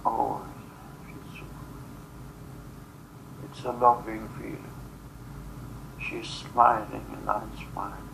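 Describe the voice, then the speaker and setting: Man, non-native English speaker, slow trance channeling, halting fragments, deep vocal fry, noisy recording. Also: little pitch movement. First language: not English. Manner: halting, fragmented phrasing with long pauses. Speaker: subject of a channeling session. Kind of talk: trance channeling in guided session.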